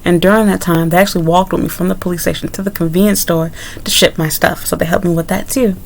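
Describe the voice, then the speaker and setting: A woman's voice: on the low side, steady tone, fast talker, vocal fry, a little hiss or hum. A young adult woman vlogger telling a personal story in a candid, rambling way, with frequent asides to her viewers. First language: English. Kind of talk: casual story-time monologue.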